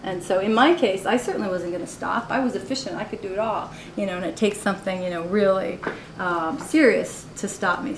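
Candid woman artist in a roomy gallery. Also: mid-range voice. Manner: candid, laughs often, many "you know"s. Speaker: artist giving a gallery talk. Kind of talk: casual talk on her own artworks. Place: college art gallery. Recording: roomy live recording with faint noise.

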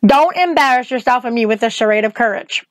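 A woman's voice: brisk, no echo, lightly acted. A woman voicing a weary, imperious witch with a commanding, sardonic and menacing manner in an audiobook. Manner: low, even, commanding. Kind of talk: audiobook character acting.

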